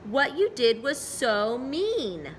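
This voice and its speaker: A woman voicing a gentle, easily hurt young schoolgirl in an earnest, pleading storybook manner. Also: on the high side, sing-song, slow, slight background noise, pitched above the performer's own voice. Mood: indignant.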